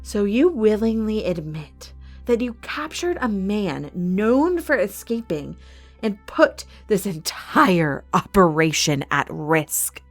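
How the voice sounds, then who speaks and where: Villainess, non-human arena boss: imperious, cold, animated pitch, threatening, audio drama with music underneath. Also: female voice, heavily acted. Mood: probing. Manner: haughty, menacing, measured threats.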